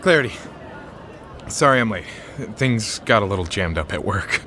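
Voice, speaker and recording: A man's voice: fairly low pitch, animated, moderate pace, sharp tone, a little hiss or hum. A man playing a charming, slightly nervous date in an audio drama, playful and self-deprecating. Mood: playful, apologetic.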